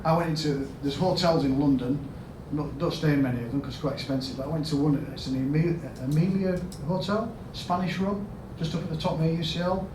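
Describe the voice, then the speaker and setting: A man with a Yorkshire accent giving a storytelling conference talk as a health service chief executive, asking the audience questions and rising to loud emphatic bursts. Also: on the high side, fairly even intonation, a little fast, hardly any pauses. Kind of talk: storytelling keynote speech. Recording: echoey hall, mic at a distance, background noise.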